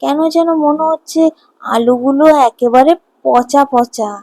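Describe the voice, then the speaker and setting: A woman voices a fussy young boy in a cartoon tale. The voice is high and plaintive, with an outspoken, questioning manner. It sounds close and dry. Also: a little slow, mellow, no echo. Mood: disappointed. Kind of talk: Bengali cartoon morality tale acting.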